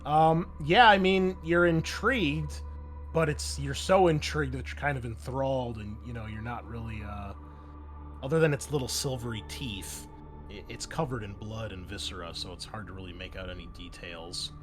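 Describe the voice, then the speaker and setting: A man acting as game master, narrating a tabletop role-playing game in a casual style with deliberate dramatic pauses. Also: mid-range voice. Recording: music playing behind the voice. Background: music.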